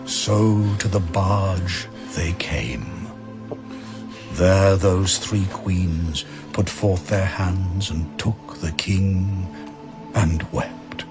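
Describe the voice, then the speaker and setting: Man, dramatic verse narration, slow pace, grave theatrical phrasing, faint music behind. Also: deep voice.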